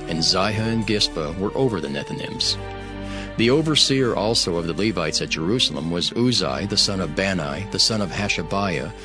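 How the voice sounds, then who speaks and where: Male Bible narrator, low voice, solemn measured reading, archaic scripted text, clear background music. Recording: music playing behind the voice.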